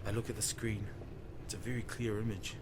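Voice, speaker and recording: A man's voice: fairly low pitch, flat delivery, brisk. Male storyteller over heavy background noise. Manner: theatrical, present-tense storytelling. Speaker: storyteller, voice performer. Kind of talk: dramatic first-person narration of an audio story.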